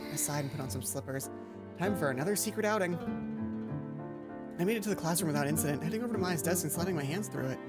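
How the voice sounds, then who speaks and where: A woman's voice: on the low side, animated, quick pace, pauses often, over loud music. A woman hosting a let's play, mixing casual, sweary commentary with reading a visual novel's narration aloud.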